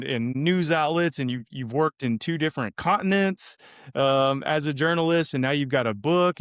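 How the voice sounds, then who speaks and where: A man's voice: mid-range voice, clean tone, no room sound, clean studio sound. A man hosting a podcast interview, rambling and repeating words as he frames his questions. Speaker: podcast host. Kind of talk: casual interview conversation.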